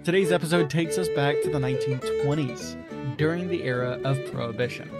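Highish-voiced male announcer over loud guitar. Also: fairly quick. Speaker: podcast host and announcer. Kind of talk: scripted narration. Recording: loud guitar music under the voice.

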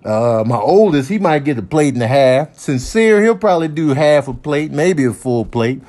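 High-pitched man doing casual comic commentary. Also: expressive, normal speed, darkish tone. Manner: conversational, slangy, storytelling with mock indignation.

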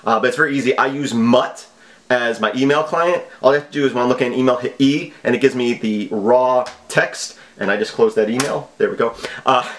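A man's voice: mid-range voice, fairly quick, a little hiss or hum. A man in his thirties giving casual, offhand vlog commentary with a wry manner. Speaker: video host. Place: small home office.

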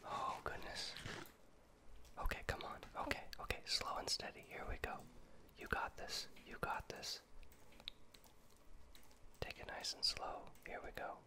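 A man's voice: a little slow, pauses often. A man whispering gameplay commentary in an ASMR style, talking himself through tense moments and repeating words when he panics. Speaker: gaming video creator.

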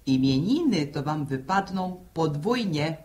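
A woman's voice: low-pitched, lively intonation, a little slow, darkish tone. An actress playing a teasing, gossipy village woman with speech in a 1960s radio drama. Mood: chatty.

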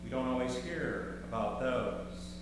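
An older man preaching a sermon from a pulpit, slow and measured, with rising emphasis on key words. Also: mid-range voice, far from the mic. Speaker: pastor. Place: large church sanctuary, at a pulpit. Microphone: far camera in the sanctuary. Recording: echoey church hall from afar, background hum.

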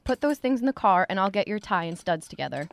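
A woman's voice: medium pitch, big pitch swings, fast, vocal fry. An actress playing a fussy, flustered small-town mother in a radio drama. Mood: bustling. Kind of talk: old-time radio drama acting.

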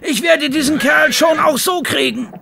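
An actor playing a stern, resolute man in charge of a stable, gravelly and commanding, in a German radio play. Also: extremely high pitch, moderate pace, a crowd in the background, heavily acted. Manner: commanding, urgent. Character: stern, resolute male horse trainer in charge. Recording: clearly noisy background.

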